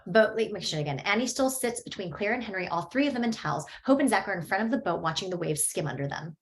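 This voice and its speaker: A woman reading a screenplay's stage directions aloud at a table read, steady and matter-of-fact. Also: medium pitch, fast talker.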